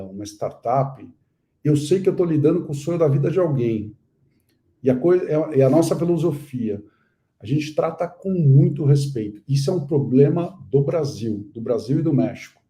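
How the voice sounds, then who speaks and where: A man's voice: mid-range voice, dark tone. A middle-aged man from Brazil, a guest investor talking earnestly in a live interview, with many fillers and restarts. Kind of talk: casual live interview chat.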